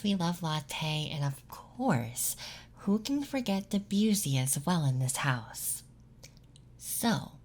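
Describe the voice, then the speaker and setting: A woman voicing a friendly, playful young femboy classmate in breathy, gentle ASMR whispers and soft speech, close to the mic. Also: on the low side, heavily acted. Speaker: ASMR performer. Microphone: close ASMR microphone.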